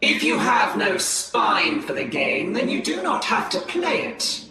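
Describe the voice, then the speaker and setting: An actress playing a stern, haughty ancient alien warrior lord in a game, commanding and taunting in a deliberate way. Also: female voice, a little high, big pitch swings. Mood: scornful. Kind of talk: theatrical game cinematic acting. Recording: heavy otherworldly echo.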